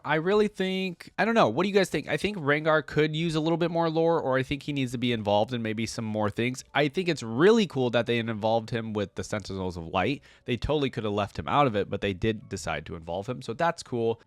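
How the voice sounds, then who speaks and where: A man's voice: on the high side, dry recording. A man giving casual, informal reaction commentary on a video game, talking directly to viewers.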